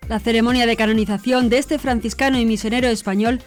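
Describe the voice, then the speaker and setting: A woman presents scripted news in a formal, measured manner. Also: a little high, steady tone. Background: background music.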